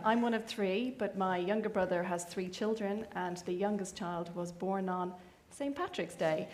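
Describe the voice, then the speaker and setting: A woman speaks as a panelist at a conference. She tells a personal story in a chatty, wry way. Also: mid-range voice. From Ireland.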